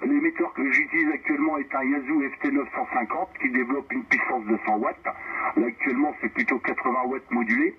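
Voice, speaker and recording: A man's voice: medium pitch, fairly even intonation, fairly quick. A man chatting casually as an amateur radio operator, repeating his phrases, heard over a radio transmission. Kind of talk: casual radio contact chat. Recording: narrow phone-like radio band, noisy.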